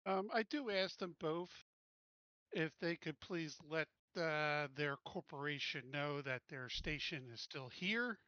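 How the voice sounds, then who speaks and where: A man's voice: fairly high pitch, slow pace, bright, forceful tone, no room sound, muffled recording. A man playing a tabletop roleplaying game, chatting casually as a player at the table.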